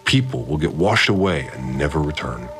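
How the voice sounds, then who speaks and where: A calm, controlling priest of a strange order narrates his log in a measured, solemn audio drama. His voice sits very deep yet moves expressively through each line. Soft music lies underneath. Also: heavily acted. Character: calm, cryptic, controlling male cult priest.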